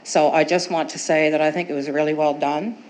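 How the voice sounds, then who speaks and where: A woman's voice: low-pitched, steady tone, brisk, darkish tone, rough recording. A woman resident giving a chatty, appreciative public comment at a town council meeting, rambling a little with fillers. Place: council chamber.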